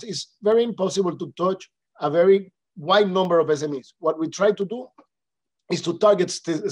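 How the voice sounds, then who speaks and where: A man from the Dominican Republic with a Spanish accent, a native Spanish speaker giving a polite webinar presentation with frequent fillers and restarts. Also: on the high side. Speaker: panelist representing an international organization.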